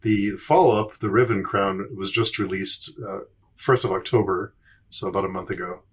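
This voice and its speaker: Man, lowish voice, slightly raspy, podcast host, casual, halting delivery. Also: steady tone. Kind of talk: casual chat.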